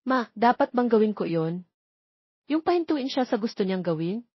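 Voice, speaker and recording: A woman's voice: a little high, expressive, moderate pace, slightly rough, dry recording, highs cut off. A woman voicing an emotional young mother in a story, earnest and pleading for love. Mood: hesitant, troubled. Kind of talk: dramatized storytelling dialogue.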